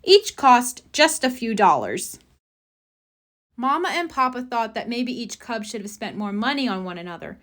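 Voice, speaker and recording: A woman's voice: on the high side, sing-song, moderate pace, fairly bright tone. A woman reading a children's picture book aloud as a storybook narrator. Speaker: storybook reader.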